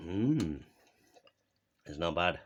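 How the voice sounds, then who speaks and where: A man's voice: low voice, very animated, very slow, dull audio. A man with a Yorkshire accent hosting a mock cooking show at home in a kitchen, joking at himself and chuckling between lines. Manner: jokey and self-mocking, chuckling between lines. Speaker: joke cooking video host.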